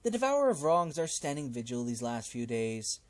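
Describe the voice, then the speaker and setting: A man's voice: mid-range voice, sing-song, moderate pace, lightly acted. A man voicing a fussy, genteel old cannibal cult leader in a game, with a polite, wordy, fluttery manner. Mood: indignant, flustered.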